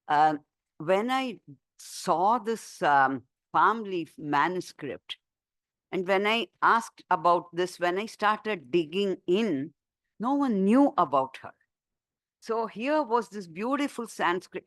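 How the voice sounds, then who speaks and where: A woman author giving a book talk and answering questions, chatty and animated, with many fillers and restarts. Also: fairly low pitch, no echo. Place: talk room.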